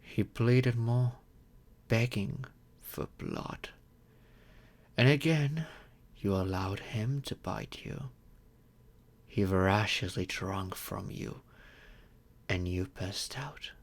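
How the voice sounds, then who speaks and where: Courteous male vampire voiced by a man for ASMR audio drama: lowish pitch, very unhurried delivery, tender, grateful and gently formal. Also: creaky, heavily acted. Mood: solemn storytelling. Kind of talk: slow intimate ASMR roleplay monologue.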